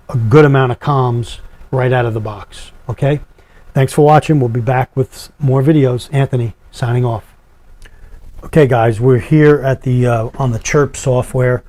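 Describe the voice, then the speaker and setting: A man with a dark, relaxed voice presents a how-to product demo. His pitch sits in the middle of the male range, and he explains each step in plain, everyday language. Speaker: product reviewer and video host. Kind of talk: casual how-to product demonstration.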